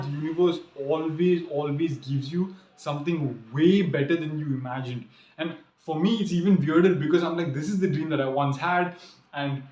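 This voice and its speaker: A young man who grew up in the UAE, rambling earnestly through a casual vlog, full of "like" and "literally", talking from a small room. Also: on the high side. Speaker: vlogger. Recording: echoey room.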